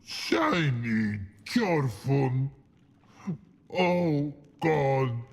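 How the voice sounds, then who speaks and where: A big dim troll from a fantasy video game. He is very slow and creaky, groping for each short word in broken grammar like a sorry child, while his pitch lurches up and down. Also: expressive, full character voice. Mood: mournful. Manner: halting broken grammar, short blurted words. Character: big dim-witted male troll, simple, contrite and childlike. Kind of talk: video game character acting.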